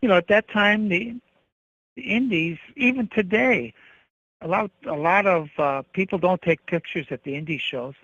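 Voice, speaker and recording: A man's voice: high-pitched, moderate pace, a bit bright, dry recording. A man calling in as a guest, talking in a plainspoken, matter-of-fact way. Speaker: caller, guest photographer. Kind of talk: casual interview talk. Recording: dull lo-fi phone call-in.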